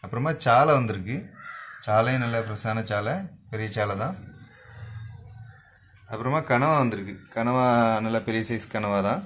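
A man's voice: lowish voice, a bit bright, slight background noise. Man listing fresh fish at a market stall. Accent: Kanyakumari Tamil. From Kanyakumari district, South India. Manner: brisk repetitive listing, like a vendor calling out stock. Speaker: fish shop owner listing the day's catch. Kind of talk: spoken product rundown for a shop channel.

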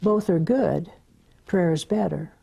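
Older woman with a low, gravelly voice. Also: slow, crowd noise. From Southern California. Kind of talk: television interview. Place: studio set, indoors.

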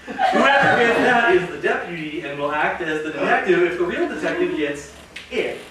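A man playing an easygoing slacker in a staged reading, talking in halting slang. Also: high-pitched, animated, sharp tone. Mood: explaining. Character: easygoing young slacker explaining a party game. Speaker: amateur actor. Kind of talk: improvised staged reading before an audience. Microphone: far camera. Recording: echoey room from far off, very noisy.

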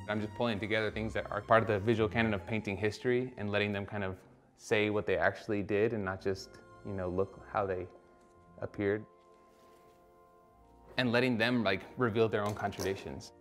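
Artist, 30s, from Costa Rica, low even voice, loose and reflective, soft music under it. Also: male voice, fairly quick. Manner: reflective, loose, with many fillers and restarts. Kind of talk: documentary interview.

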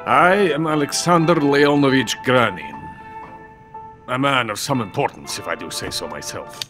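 An actor playing an older, boastful and bitter Soviet weapons scientist in a video game, drunk and theatrical. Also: male voice, medium pitch, animated, moderate pace, a bit bright, soft background music, lightly acted. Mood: proud, pompous. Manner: pompous, theatrical, declamatory. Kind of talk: dramatic video game cutscene acting.

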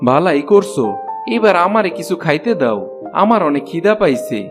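A man acts out a humble, kind-hearted cartoon woodcutter. His voice sits a little high, with a homely, plain-spoken rural Bangladeshi dialect. Faint music runs behind him. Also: expressive, moderate pace, close to an everyday voice. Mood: hopeful. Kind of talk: Bengali fairy-tale cartoon voice acting.

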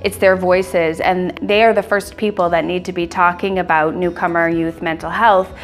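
A woman project lead giving a calm, measured interview to camera in a bright office, on a lapel mic. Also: lowish voice.

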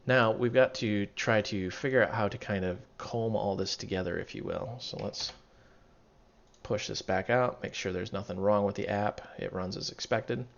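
A man with a voice going raspy, walking through code in a casual tutorial, thinking aloud and correcting himself as he goes. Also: lowish voice, steady tone, normal speed. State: losing his voice, raspy. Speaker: programming tutorial instructor. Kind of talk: casual screen-recorded coding walkthrough. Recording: dull lo-fi mic, keyboard typing behind. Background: keyboard typing and clicking.

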